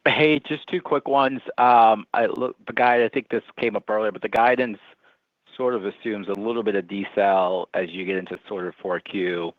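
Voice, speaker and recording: A man's voice: on the low side, moderate pace, dry recording, muffled recording. A man speaking as a financial analyst, putting casual, hedging questions on an earnings call over phone audio.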